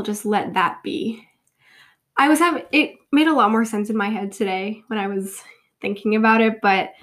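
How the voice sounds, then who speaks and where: A young woman in her twenties with a cold, talking casually as host of her own show, her voice congested and sniffly, rambling and joking at her own expense. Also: medium pitch. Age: 20s. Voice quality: nasal, sniffly. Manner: rambling, self-deprecating, giggly. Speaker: podcast host. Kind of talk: casual solo chat.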